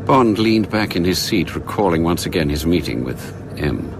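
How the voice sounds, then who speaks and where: Super deep narration for a spy radio play, grave and measured, vehicle hum behind. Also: male voice, lively intonation. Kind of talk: scripted narration.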